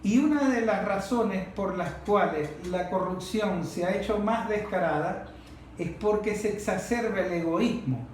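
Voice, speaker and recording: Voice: medium pitch, unhurried. A Venezuelan speaker leading a group discussion in an emphatic, didactic political style, repeating phrases for emphasis. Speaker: discussion leader. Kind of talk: impassioned political talk to a group. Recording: very echoey room, faint noise.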